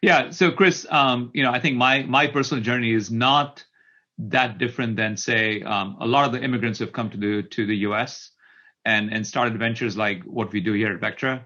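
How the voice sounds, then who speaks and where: A man's voice: mid-range voice, normal speed, sharp tone, lo-fi sound. A middle-aged man from Kenya answering as an interview guest, repeating words as he thinks aloud. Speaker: tech company CEO, interview guest.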